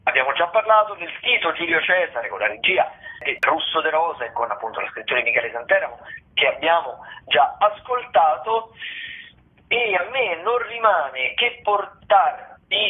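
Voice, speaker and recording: A man presenting a semi-improvised rundown of listings on the radio, in a halting delivery with drawn-out words. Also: a little high. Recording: thin phone-like band-limited radio sound.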